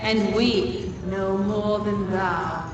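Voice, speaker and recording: A woman's voice: medium pitch, steady tone, drawn-out words. A woman playing an imperious, ominous godlike being in a horror game, speaking in a regal, archaic and menacing way. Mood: haughty doubt. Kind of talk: theatrical horror game acting. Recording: cavernous reverb, distant, heavy ambient noise.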